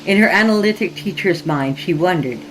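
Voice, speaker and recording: A woman's voice: lowish voice, fairly quick, noisy background. A woman reading scripted horror fiction as a podcast narrator, in a dramatic storytelling style. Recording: music behind the voice. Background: music.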